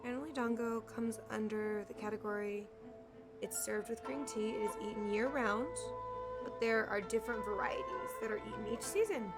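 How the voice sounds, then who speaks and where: A woman with a fairly high voice chats casually as she streams a game live. Her commentary is offhand, and game music is clearly heard behind her. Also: moderate pace.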